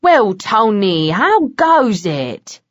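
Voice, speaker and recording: A woman's voice: medium pitch, big pitch swings, very slow, no room sound, dull audio. A woman voicing a cool, menacing male vampire with a pleasant, mocking manner. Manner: pleasant but threatening, mocking.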